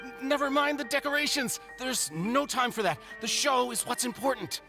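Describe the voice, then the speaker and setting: An anxious male stage assistant in a video game, with a very high voice. He sounds fretful and urgent over clear music. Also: normal speed. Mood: fretful urging.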